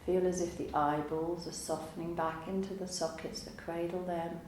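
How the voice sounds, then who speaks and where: A woman teaching yoga nidra, guiding a relaxation meditation in a calm, soothing, unhurried way with long pauses. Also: on the low side. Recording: roomy echo, faint background noise.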